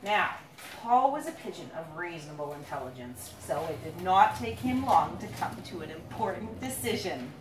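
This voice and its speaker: A woman reading her own picture book aloud to a young child, playfully dramatic, drawing out words and pausing to ask questions. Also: on the low side, sing-song. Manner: dramatic build-ups with drawn-out words. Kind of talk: animated storytelling read aloud to a young child. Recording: roomy, noisy, distant handheld recording.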